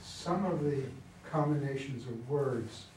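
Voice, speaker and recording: A man giving informal feedback at a discussion, halting as he searches for words. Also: mid-range voice, darkish tone. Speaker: play reader giving feedback. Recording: echoey room, distant mic, clear noise.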